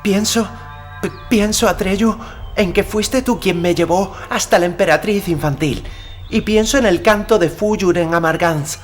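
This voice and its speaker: Man playing a proud, imperious boy ruler, raised pitch. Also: measured pace, faint music behind. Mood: bitter, wounded. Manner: imperious, grave, declamatory. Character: proud, wounded boy ruler passing stern judgment. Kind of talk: audiobook character acting in Spanish.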